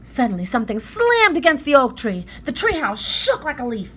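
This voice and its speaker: A woman narrating a children's story aloud in an expressive read-aloud style. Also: sits high, a little fast, slightly rough.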